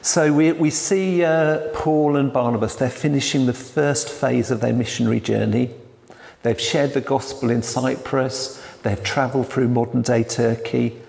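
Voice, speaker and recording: A man, a church elder giving a sermon in a quiet, hesitant way with many restarts. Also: medium pitch, fairly even intonation, measured pace, creaky. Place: church hall. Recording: long hall reverb.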